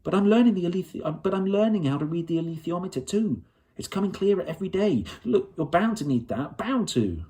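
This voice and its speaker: A defiant young girl from a storybook, as a male reader puts her on. The voice is lifted in pitch and pushes eagerly, pleading and insisting with stubborn fire. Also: lively intonation, a little fast, dark tone. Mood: eager, insistent.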